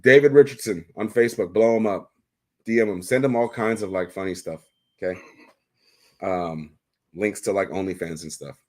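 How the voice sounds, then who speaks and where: A man with a very deep, low voice hosts a laid-back livestream. He chats loosely into a desk mic, jokes around and laughs easily. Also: normal speed. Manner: chatty, laughs often, loose and joking. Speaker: livestream host. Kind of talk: casual livestream chat. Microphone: desk microphone.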